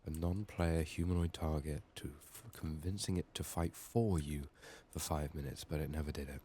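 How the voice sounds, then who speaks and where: Very deep, soft-spoken man. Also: steady tone, moderate pace, a little hiss or hum. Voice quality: hushed, soft, sometimes slipping into whisper. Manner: muttering, thinking out loud. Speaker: gaming video host. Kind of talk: soft-spoken ASMR game playthrough commentary.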